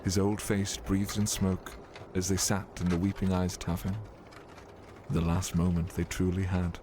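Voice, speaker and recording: A man's voice: sits low, completely flat delivery, unhurried, noisy background. A man giving scripted, dramatic narration as the narrator of a fantasy audio drama.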